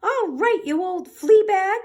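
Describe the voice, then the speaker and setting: A woman voicing a blustering, bossy male mouse commander with a squeaky voice in a children's storybook. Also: expressive, slow, full character voice. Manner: shouting orders, exclamatory. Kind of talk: children's storybook read-aloud.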